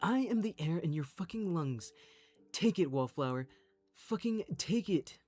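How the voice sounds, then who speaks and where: Man acting out a possessive, foul-mouthed young guy, slow delivery. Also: a little high, expressive, darkish tone, faint music behind. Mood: desperate, possessive. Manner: aggressive, swearing, commanding. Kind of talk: dramatic audio roleplay reading.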